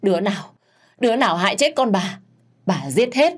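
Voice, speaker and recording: A woman's voice: mid-range voice, very animated, normal speed, slightly rough, voice pushed up. A woman voicing a grieving middle-aged mother who wails and hurls accusations in a storybook reading. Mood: wailing rage. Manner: wailing, shrieking accusations.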